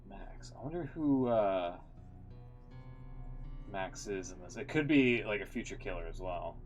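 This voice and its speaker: A man's voice: fairly low pitch, expressive, a little slow, muffled recording, faint music behind. A man streaming a game and giving casual, informal, sweary reaction commentary.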